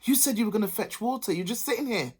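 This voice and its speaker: A woman voicing a sharp, sarcastic young sister-in-law. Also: on the high side, expressive, fast talker, husky, voice pushed up. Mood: scornful. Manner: sarcastic, scolding.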